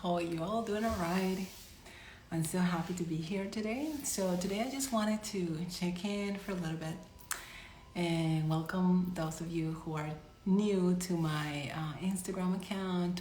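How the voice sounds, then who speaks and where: A woman with a Colombian Spanish accent talks to her followers in a loose, chatty way, often restarting her sentences. Her voice sits a little low. The room around her is echoey. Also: fairly even intonation, moderate pace, darkish tone, a little hiss or hum. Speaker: psychic medium and energy healer. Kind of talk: casual live-stream talk to followers.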